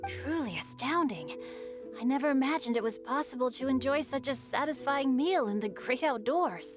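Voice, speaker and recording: A woman's voice: sits high, animated, normal speed, over music. A woman voicing a refined, bookish and mischievous young boy poet in a video game, speaking eloquently and with composure. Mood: breezy, mischievous. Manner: eloquent, composed, literary phrasing.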